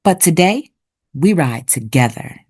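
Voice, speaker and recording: A woman narrating for children like a teacher, with deliberate, expressive enunciation. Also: low-pitched, slightly rough. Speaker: read-aloud host and narrator. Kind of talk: children's picture book read-aloud with vocabulary teaching.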